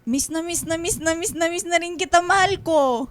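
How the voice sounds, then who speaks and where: A young married Filipina woman, speaks in a high, expressive voice. She is an affectionate wife and quietly exasperated daughter in a radio drama. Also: normal speed. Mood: sweet, affectionate.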